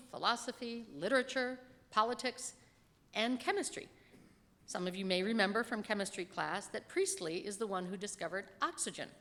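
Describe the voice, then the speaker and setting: A woman's voice: medium pitch, fairly bright tone. Woman reading a sermon in a reverberant hall. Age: middle-aged. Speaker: lay reader delivering a written sermon. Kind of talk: sermon read aloud from a script. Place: church pulpit in a large reverberant room. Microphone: gooseneck lectern microphone.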